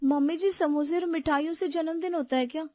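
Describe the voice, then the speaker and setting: A woman's voice: high-pitched, steady tone, brisk, a little mellow, no room sound, close to an everyday voice. A woman voicing an outspoken younger daughter-in-law in a family story, complaining and pleading her case. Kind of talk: animated Hindi family story dialogue.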